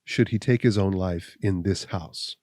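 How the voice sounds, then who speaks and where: A man voicing a stern, implacable accuser who speaks in a deep, level voice, slow and measured. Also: darkish tone, no room sound, studio quality.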